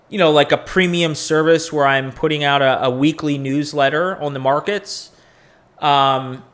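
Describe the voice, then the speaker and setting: A man's voice: a little high, a bit bright. A man in his forties hosting a crypto live stream, talking casually and candidly, rambling with profane asides. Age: 40s. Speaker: crypto podcast host.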